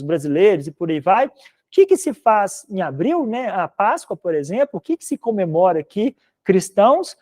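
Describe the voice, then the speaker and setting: Male teacher from Minas Gerais, mineiro accent, mellow tone, rambling casual lecture style. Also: sits high. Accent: Minas Gerais Portuguese. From Minas Gerais, Brazil. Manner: rambling, conversational, frequent "né?" tags. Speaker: philosophy teacher. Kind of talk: recorded online class lecture.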